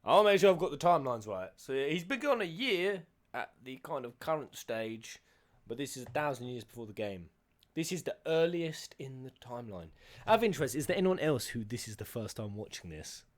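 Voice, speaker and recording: A man's voice: medium pitch, sing-song, fairly quick. Guy doing reaction commentary, jokey, lots of up and down in his voice. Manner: chatty, joking, asks questions aloud. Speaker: reaction video host.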